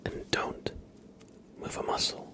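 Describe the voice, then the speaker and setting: A man hosting a live ASMR stream, chatting to viewers with playful, murmured role-play patter. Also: takes it slowly. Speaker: livestream host.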